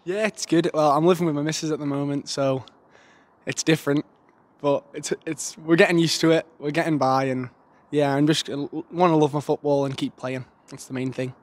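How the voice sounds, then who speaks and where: A man's voice: on the high side, fairly even intonation, fairly quick. A young man giving a casual, earnest post-match interview outdoors in a stadium stand into a handheld mic. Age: young adult. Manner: casual, plain-spoken, earnest. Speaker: footballer.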